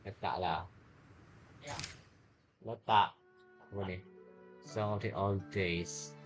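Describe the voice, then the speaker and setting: A Malaysian-accented man chats on a game livestream. His voice sits fairly low, and he talks in offhand fragments while the game's music plays behind him. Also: steady tone, slow. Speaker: game streamer. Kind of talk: casual livestream chat over gameplay.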